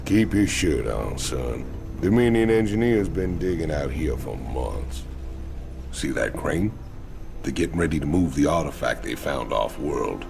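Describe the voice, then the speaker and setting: An actor playing a huge, cocky ex-convict outlaw in a game cinematic, gruff American drawl, swaggering and teasing. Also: male voice, expressive, a little slow, background noise, exaggerated voice. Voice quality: very deep gravelly drawl. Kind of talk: video game cinematic acting.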